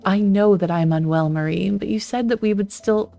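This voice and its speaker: A woman's voice: moderate pace, gravelly, dark tone, soft background music, lightly acted. A woman voicing a confused, pleading husband who does not know he has died. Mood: bewildered pleading. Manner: bewildered, pleading, voice raised higher. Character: confused ghostly husband, middle-aged man, pleading.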